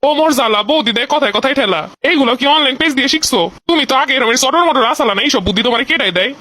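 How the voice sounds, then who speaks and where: A man's voice: very high-pitched, lively intonation, racing, pushed and bright, no room sound, exaggerated voice. A man with a Bangladeshi accent voicing a stingy, exasperated henpecked husband who grumbles defensively in a comedy cartoon. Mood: grumbling.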